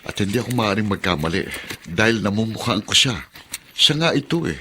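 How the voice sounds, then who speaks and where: A man's voice: fairly low pitch, expressive, brisk, sharp tone, a little hiss or hum. A man playing an honest, devout driver and father in a radio drama, earnest and resolute, with a Filipino accent. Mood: surprised, certain.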